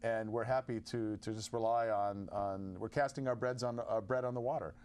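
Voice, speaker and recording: A man co-hosting a call-in show, explaining in a measured way with many uhs. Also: lowish voice, fairly even intonation, darkish tone, smooth. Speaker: co-host. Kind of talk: casual call-in chat.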